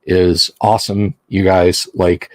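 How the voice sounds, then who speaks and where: Slow, rambling man hosting a livestream. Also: low-pitched, little pitch movement, a little mellow, no echo. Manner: rambling, self-deprecating, restarts often. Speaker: livestream host. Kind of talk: casual livestream chat.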